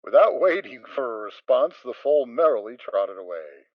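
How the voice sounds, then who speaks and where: A man narrating a dramatic reading of a story, steady and expressive. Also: mid-range voice, normal speed, dry recording, muffled recording. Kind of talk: dramatic reading of fan fiction.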